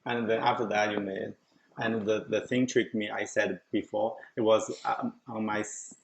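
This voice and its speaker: Brief: middle-aged Chinese-accented man, gravelly low voice, loose chatty storytelling with laughs. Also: little pitch movement, moderate pace, a little hiss or hum, rough recording. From China. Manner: chatty, often breaks into laughter mid-sentence. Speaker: show host telling his story. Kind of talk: casual conversation.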